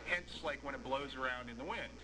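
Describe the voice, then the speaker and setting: A man's voice: medium pitch, brisk. A man casually explaining technical matters on CB radio. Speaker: CB radio operator. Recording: noisy CB radio and room recording.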